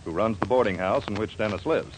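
A man's voice: lowish voice, a little fast, background noise. A man announcing and narrating a 1940s radio show in a projected, theatrical manner. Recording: 1940s radio broadcast with music.

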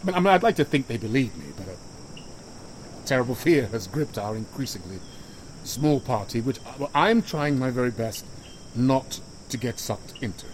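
An actor playing a weary, frightened stranded man who keeps his composure, halting and stammering through a radio log in an audio drama. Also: medium pitch, lively intonation, slight background noise, close to an everyday voice, low-quality recording. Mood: anxious, strained. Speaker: narrator-survivor sending radio log.

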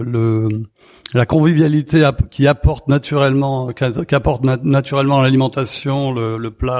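A man giving conversational interview answers as a festival organizer, halting and often repeating his words. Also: medium pitch, darkish tone.

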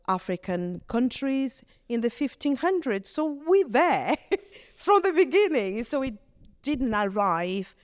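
A middle-aged woman with a Brazilian Portuguese accent, speaking in English as a vicar. She gives an earnest, halting testimony and often restarts her phrases. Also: medium pitch, clean tone, no room sound, studio quality. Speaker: vicar, guest. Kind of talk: reflective testimony in conversation.

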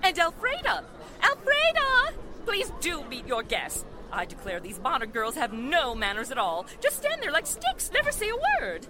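A woman's voice: high-pitched, huge pitch swings, moderate pace, crowd noise. A woman playing a middle-aged, scatterbrained society mother with an effusive, theatrical, chattering manner in a 1940s-style radio drama. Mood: fussy, gushing.

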